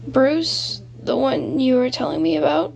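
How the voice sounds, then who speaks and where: A woman voicing a frightened teenage boy who is trapped, speaking tensely and quickly. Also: high-pitched, big pitch swings, gravelly, a little mellow, exaggerated voice, low-quality recording. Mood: worried.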